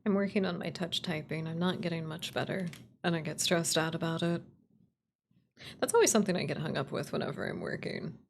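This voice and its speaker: A woman's voice: deep voice, brisk, gravelly, a little hiss or hum. A woman streamer and voice actor thinking aloud as she writes, casually muttering to herself, trailing off and repeating words. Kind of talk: casual work-along stream, thinking aloud while writing. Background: computer keyboard typing and clicks.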